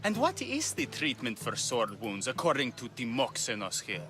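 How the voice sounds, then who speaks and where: An actor playing a smooth, menacing Greek temple priest in a dramatic video game, speaking with mock politeness. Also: male voice, medium pitch, wildly swooping pitch, moderate pace. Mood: mock-polite. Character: smooth, menacing male temple priest enforcer in ancient Greece. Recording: loud music behind the voice.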